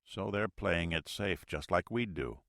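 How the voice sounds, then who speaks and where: A careful submarine executive officer thinking out loud in measured steps, as a male narrator voices him. His voice is bright and recorded close and dry. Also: sits low, vocal fry, no echo, heavily acted. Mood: thoughtful.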